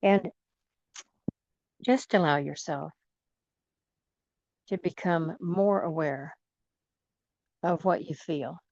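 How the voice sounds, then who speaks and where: A woman leading guided imagery in a soothing, gentle manner, leaving long pauses between phrases. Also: lowish voice, no echo, lo-fi sound. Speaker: guided meditation leader.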